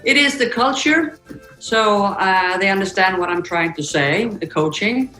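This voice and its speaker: An older woman with Swedish as her first language, answering interview questions thoughtfully over a video call, with fillers and pauses. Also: medium pitch, expressive, pushed and bright, echoey room, soft background music, poor audio. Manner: thoughtful, halting speech with fillers. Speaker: interview guest, football coach. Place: office room indoors.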